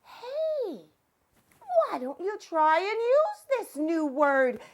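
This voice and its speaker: Kids' educational show: over-the-top, excitable female word teacher, giggly, exclamatory, very high and animated. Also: drawn-out words, full character voice. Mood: playful. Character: zany, excitable woman vocabulary teacher for children. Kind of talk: children's educational show segment.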